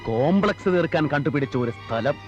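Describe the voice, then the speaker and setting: A man's voice: fairly high pitch, expressive, a little fast, highs cut off, soft background music, close to an everyday voice. An actor playing an arrogant, cruel, domineering man in a film drama, scornful, with an Indian Malayali accent. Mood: furious, insulting. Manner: scornful, mixes Malayalam with English outbursts. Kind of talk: heated film drama dialogue.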